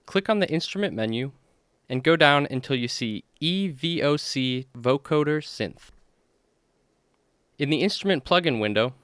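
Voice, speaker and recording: A man hosting a software tutorial, explaining each step plainly in an instructional walkthrough. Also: medium pitch, clear, resonant tone, no echo. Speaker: tutorial host.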